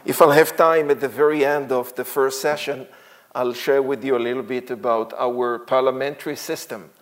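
A man in his sixties with an Israeli accent, giving a church talk as a visiting guest speaker, halting with many fillers and light laughs. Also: medium pitch, steady tone. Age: 60s. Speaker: guest speaker, tour guide.

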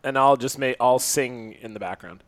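A man's voice: mid-range voice, normal speed, fairly bright tone, no room sound, studio quality. A man co-hosting a podcast, chatting casually with a teasing, joking manner. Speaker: podcast co-host.

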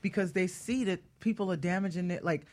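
A woman's voice: on the low side, a little fast, darkish tone. Female guest expert, a hairstylist. Conversational, emphatic, explanatory delivery.

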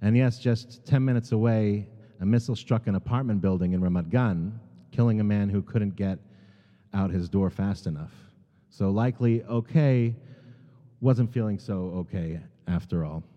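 Halting American man in an echoing sanctuary. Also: fairly low pitch. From United States. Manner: halting, many ums and restarts, earnest. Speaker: guest speaker at a synagogue. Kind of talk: sermon. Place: large synagogue sanctuary. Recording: long hall reverb, dull sound.

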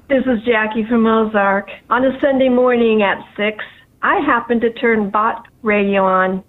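An American woman leaving a listener message on a telephone comment line. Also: on the high side. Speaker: listener calling in. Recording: thin phone-line sound.

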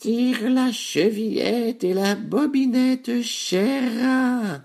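A man puts on a frail old grandmother from a children's tale. The voice is very high and quavers out slowly, as if calling from bed. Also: creaky, a little mellow, heavily acted. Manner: high, slow, drawn-out calling.

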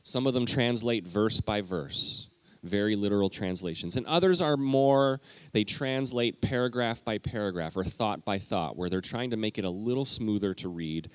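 A man preaching a conversational teaching sermon in a church, talking directly to the congregation with lively emphasis. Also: fairly low pitch, moderate pace. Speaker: pastor. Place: church sanctuary.

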